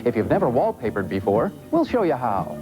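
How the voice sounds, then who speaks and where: A man's voice: mid-range voice, huge pitch swings, a little fast, low-quality recording. A man reading a scripted retail commercial as an announcer. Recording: 1970s TV ad with music bed.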